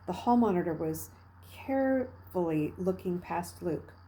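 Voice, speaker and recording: Woman reading a story aloud, mellow voice. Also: on the low side, expressive, measured pace, slight background noise, rough recording. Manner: dramatic, with hushed passages and emphatic bursts. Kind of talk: expressive narration of a children's novel read aloud.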